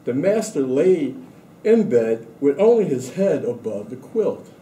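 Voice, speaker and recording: A man on a school staff reading aloud to children in a measured, deliberate way. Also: mid-range voice, very animated, dark tone. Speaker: school administrator introducing and reading a story. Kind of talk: scripted read-aloud for children. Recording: roomy echo with faint background noise.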